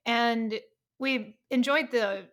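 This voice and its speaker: A woman's voice: a little high, slow, fairly bright tone. Woman co-hosting a podcast. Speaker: podcast co-host. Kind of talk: interview question.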